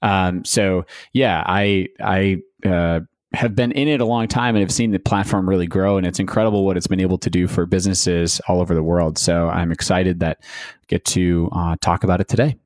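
A friendly man from the United States answers questions on a podcast, upbeat and full of small fillers. His voice sits low. It sounds dry and close, with no room around it. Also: steady tone, normal speed, darkish tone, dry recording. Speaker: podcast guest, ad agency owner. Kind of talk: conversational interview answers.

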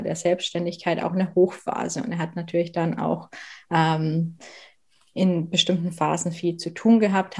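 Low-voiced woman with a light Bavarian accent. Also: a little mellow. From southern Bavaria. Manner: frequent hesitations, thoughtful and earnest. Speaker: guest, working mother. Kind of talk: conversational interview.

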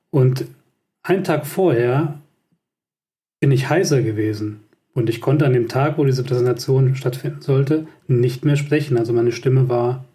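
A man's voice: mid-range voice, dark tone. A man co-hosting a podcast, speaking reflectively and hesitantly with frequent fillers. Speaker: podcast co-host. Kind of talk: podcast conversation.

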